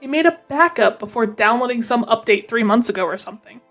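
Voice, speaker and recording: Woman playing high-voiced, no-nonsense cartoon llama. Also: lively intonation, a bit bright, faint music behind. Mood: curt. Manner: brisk, no-nonsense. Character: departing llama castaway, firm, bossy but reassuring. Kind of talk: amateur comedic radio drama acting.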